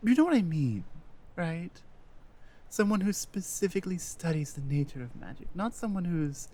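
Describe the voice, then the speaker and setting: A woman playing an earnest, loyal warrior-healer companion in a fantasy ASMR roleplay, with a hesitant, halting delivery. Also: on the low side, expressive, warm tone. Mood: awkward, earnest. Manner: hesitant, halting, with stammered restarts. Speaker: audio roleplay performer.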